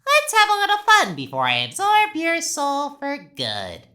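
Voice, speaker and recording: A woman's voice: high voice, wildly swooping pitch, unhurried, bright, forceful tone, full character voice. A woman voicing a sadistic, childishly gleeful talking flower villain in a video game, taunting theatrically and swinging from sweet to menacing. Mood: gleeful menace.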